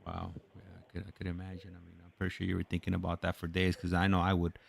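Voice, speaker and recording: An American man hosting a casual interview, phrasing his questions in a halting, hesitant way. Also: deep voice, monotone, gravelly, a little hiss or hum. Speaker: host interviewing a caller.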